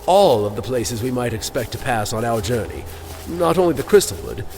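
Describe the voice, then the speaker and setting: An actor playing an earnest, scholarly cleric in a fantasy audio drama, explaining things emphatically. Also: male voice, mid-range voice, big pitch swings, moderate pace, darkish tone, slight background noise. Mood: informative, matter-of-fact.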